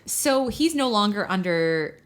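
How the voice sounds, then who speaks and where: A woman's voice: mid-range voice, expressive, unhurried. A woman co-hosting a comedy podcast in casual banter, with a giggly, playful manner. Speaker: podcast co-host.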